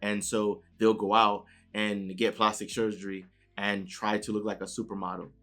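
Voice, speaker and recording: A man's voice: lowish voice, level tone, moderate pace, a bit bright. A man from New York City with a New York accent, giving a conversational motivational talk and often checking in with "right?". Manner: conversational, keeps adding "right?" tag questions. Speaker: motivational speaker.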